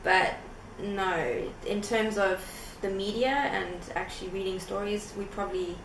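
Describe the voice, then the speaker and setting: A woman's voice: medium pitch, fairly even intonation, unhurried. A woman from Africa, an English teacher speaking frankly in an interview. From South Africa. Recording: echoey, distant, noisy.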